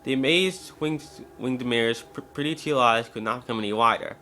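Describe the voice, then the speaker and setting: A man's voice: mid-range voice, moderate pace, bright, forceful tone, slight background noise. A man narrating a scripted story as an amateur dramatic reading, now and then stumbling over words.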